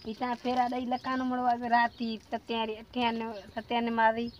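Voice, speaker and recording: A woman's voice: a little high, completely flat delivery, moderate pace, dull audio, a little hiss or hum. A woman casually retelling events in a village, repeating words as she goes. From Sindh, Pakistan. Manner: repetitive, rambling retelling. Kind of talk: casual storytelling. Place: rural village outdoors.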